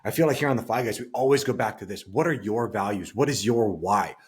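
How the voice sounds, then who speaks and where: A man's voice: fairly low pitch, animated, quick pace, breathy edge. A man co-hosting a podcast as a financial coach, talking earnestly and giving his opinions in a reflective, casual way.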